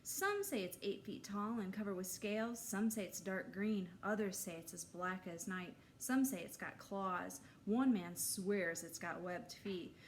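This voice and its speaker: A woman voicing a bald older man telling spooky, suspenseful camp tales with drawn-out drama in a children's storybook. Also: mid-range voice, slight background noise, lightly acted. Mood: ominous. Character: bald older male camp storyteller, ominous yet cheery.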